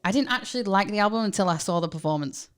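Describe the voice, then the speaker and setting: A woman's voice: medium pitch, very fast, clear, resonant tone. A young adult woman from England with a Manchester accent, chatting casually and animatedly as a podcast co-host. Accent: Manchester English. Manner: animated, chatty, laughs often. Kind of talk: casual chat.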